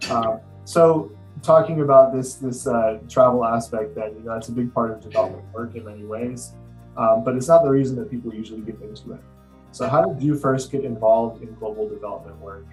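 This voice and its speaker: A young man asks interview questions over a webcam from an office with blinds behind him. His voice sits at an ordinary pitch, and he pauses and stumbles with fillers as he phrases each question. Faint guitar music runs under him. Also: a little mellow, low-quality recording. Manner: hesitant, halting with fillers. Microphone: video call webcam.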